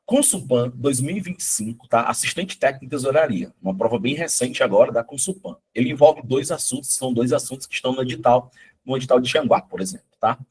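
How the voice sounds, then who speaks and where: A middle-aged man, hoarse from a cold, teaching a live online math exam-prep class in a chatty, coaxing way, often checking in with his students. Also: medium pitch, animated, fast, rough recording. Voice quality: husky.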